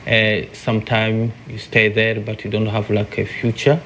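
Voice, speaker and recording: A man giving halting personal testimony as an interviewee. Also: fairly low pitch, completely flat delivery, fairly bright tone, a little hiss or hum. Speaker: interviewee, migrant.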